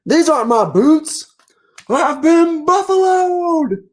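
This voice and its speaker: Man playing a blustering storybook bison, pitched up. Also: expressive, slow pace, full character voice. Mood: outraged. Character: bold, blustering male bison in lucky boots. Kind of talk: children's picture book read-aloud.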